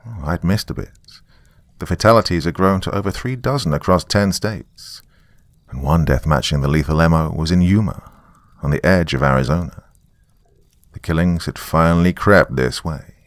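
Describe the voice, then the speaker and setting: Haunted male writer, performed by a male narrator: low, dry close sound, wry first-person horror confession. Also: moderate pace, no echo. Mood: grave, confiding. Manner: musing, wry, conversational asides. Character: weary, frightened male writer recording a confession on tape.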